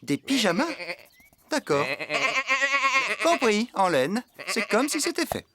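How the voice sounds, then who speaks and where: A man's voice: sits high, slow, heavily acted. A man voicing a friendly, forgetful farmhand in a children's cartoon, eager to please and slightly flustered. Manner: animated, good-natured, slightly flustered. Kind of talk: French children's cartoon dubbing. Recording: background music under the voice.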